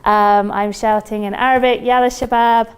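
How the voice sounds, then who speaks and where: A woman's voice: on the high side, fairly even intonation, normal speed, smooth. A woman with a British accent giving an informal, chatty workshop presentation to an audience as a company recruiter. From United Kingdom. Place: lecture room at a university.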